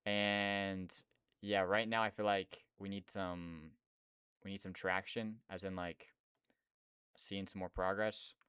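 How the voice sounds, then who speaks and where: A man's voice: low voice, flat delivery, slow pace, sharp tone, clear, resonant tone, no room sound, clean studio sound. A young man streaming and chatting casually about game development, slangy and rambling with lots of fillers. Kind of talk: casual chat.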